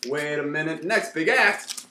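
A man's voice: on the high side, measured pace, pushed and bright, slight background noise. Frantic, theatrical man, played by an actor, echoey. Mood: intrigued. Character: frantic, theatrical man, given to florid lamenting. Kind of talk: old-time radio play acting. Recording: roomy, echoing recording.

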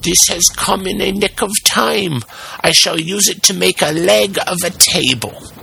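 A man voicing an excitable old carpenter in a storybook, muttering to himself in an exaggerated, easily frightened way. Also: high-pitched, measured pace, vocal fry, slight background noise, voice pushed up, full character voice. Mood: gleeful. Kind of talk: storybook character voice.

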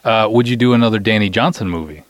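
A man's voice: fairly low pitch, fast, no echo. A middle-aged man hosting a podcast in a casual, playful, teasing manner. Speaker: podcast host and interviewer. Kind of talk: casual hosting and light interview.

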